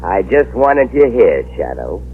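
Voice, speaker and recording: A snarling gang boss. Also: male voice, on the low side, big pitch swings, measured pace, phone-quality sound, soft background music. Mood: scheming. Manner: snarling, bullying. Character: ruthless, scheming male gang boss. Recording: scratchy old radio broadcast.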